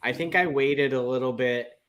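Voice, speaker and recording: A man chatting casually as a panelist on a talk show, in a halting, conversational way with trailing asides. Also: medium pitch, a bit bright. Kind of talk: casual chat.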